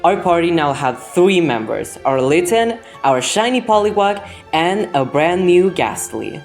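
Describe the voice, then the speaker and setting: Low-pitched young woman with a Spanish accent. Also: sing-song, measured pace, a little mellow. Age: young adult. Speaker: gaming video host. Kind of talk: scripted voice-over narration of gameplay. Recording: background music under the voice. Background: music.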